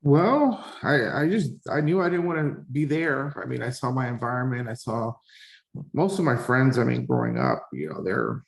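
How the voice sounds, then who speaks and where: Gravelly-voiced man on a phone call. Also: medium pitch, sing-song, normal speed. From Cuba, grew up in Miami. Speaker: guest, real estate investor. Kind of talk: casual interview. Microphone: phone on video call.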